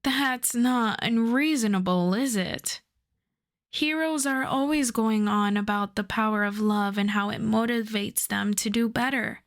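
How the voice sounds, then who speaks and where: A woman playing a suave, self-assured female supervillain in a roleplay audio drama, flirting and teasing in an unhurried way. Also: a little high. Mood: earnest, flirtatious. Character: suave flirtatious female supervillain courting her costume maker.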